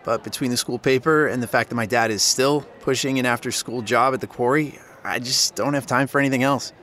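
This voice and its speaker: A man's voice: medium pitch, steady tone, a little fast, a bit bright, close to an everyday voice. A man playing a supportive, easygoing teenage boy in an audio drama, speaking casually and reassuringly. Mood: sympathetic. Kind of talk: naturalistic audio drama acting.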